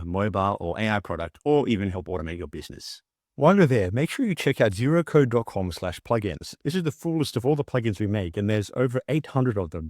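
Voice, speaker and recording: A man with a low-pitched voice presents a software tutorial. He explains each step in an instructional screen-share style. The voice-over is dry, with very little room sound. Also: dry recording.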